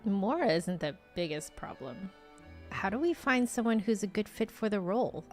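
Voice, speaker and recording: A woman's voice: mid-range voice, lively intonation, moderate pace. Woman streaming a game over music. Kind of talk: casual game playthrough, reading dialogue choices aloud.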